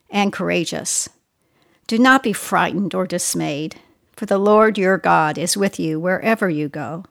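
A woman reading scripture aloud in a solemn, measured, deliberate way. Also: mid-range voice, gravelly, no room sound, studio quality. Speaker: scripture reader.

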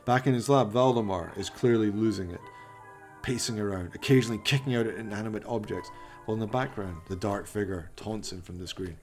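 A man's voice: fairly low pitch, fairly quick, darkish tone, soft background music. A man narrating a comic horror radio play in a melodramatic, ominous storytelling manner.

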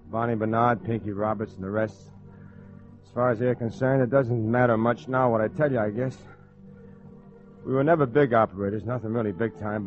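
A man's voice: lowish voice, close to an everyday voice. A man playing a cocky, wisecracking small-time gangster and ladies' man in a 1940s radio crime drama, with slangy tough-guy talk. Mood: wry, reflective. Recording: muffled old radio broadcast.

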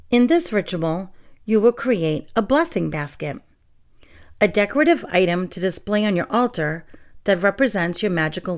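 A young woman from New England hosting a casual solo podcast, chatting and reading aloud while getting over a sore throat. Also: on the low side. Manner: chatty and friendly, with fillers and soft laughs. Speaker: podcast host.

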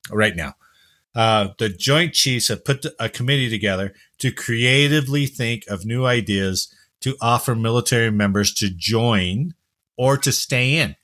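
A man from New Jersey hosting a casual news chat, jokey and quick to laugh. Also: mid-range voice, a bit bright. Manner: jokey, laughs often, tells stories. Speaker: podcast host.

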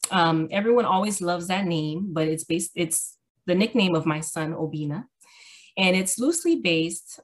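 A middle-aged woman from Miami, a guest author, chatting casually from home with easy laughter and frequent fillers. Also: lowish voice. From Miami, Florida. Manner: relaxed, laughing, hesitant fillers. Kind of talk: casual interview. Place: home room.

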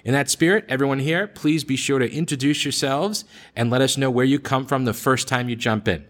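A man's voice: medium pitch, fairly quick. A man hosting a casual group discussion in an informal, conversational way. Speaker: host of a podcast discussion group. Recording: roomy echo, faint background noise.

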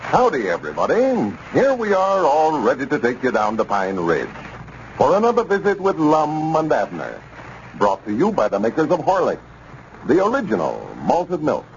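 A man's voice: on the high side, wildly swooping pitch, moderate pace. A man working as a radio announcer, introducing the show and reading sponsor copy in a formal, emphatic 1930s style. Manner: formal, emphatic sales delivery. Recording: muffled 1930s radio recording with faint hiss.

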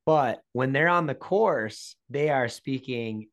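A man's voice: medium pitch, slow. A man hosting an interview, leading into his questions with long chatty asides. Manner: chatty, rambling lead-ins to questions. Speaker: podcast host.

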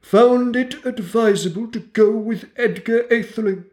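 A woman's voice: fairly high pitch, unhurried, darkish tone, pitched above the performer's own voice, natural voice. A woman voicing a prim, bossy female mouse who lectures a group in a pompous, schoolmarmish way.

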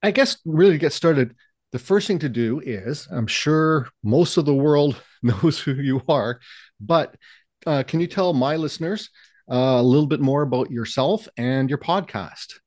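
A man hosting a history podcast, reading a measured intro then asking questions. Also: fairly high pitch, animated, darkish tone, dry recording, studio quality. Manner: measured, reads intro then brief acknowledgements. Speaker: podcast host. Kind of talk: scripted intro then interview.

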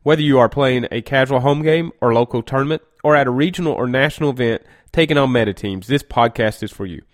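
A man's voice: mid-range voice, quick pace. A man with a cold and a stuffy nose, hosting a gaming podcast in a chatty, rambling way and often correcting himself. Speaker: podcast host. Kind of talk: casual gaming podcast monologue.

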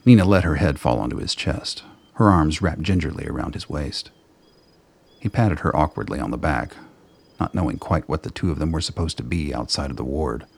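A man reading scripted narration for a radio play in a deep, gravelly voice. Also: a little fast.

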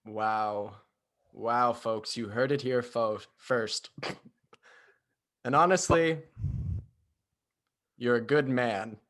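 A man's voice: mid-range voice, fairly bright tone. A man as a hesitant talk show host. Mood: probing. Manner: halting, hesitant. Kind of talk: improvised talk show parody.

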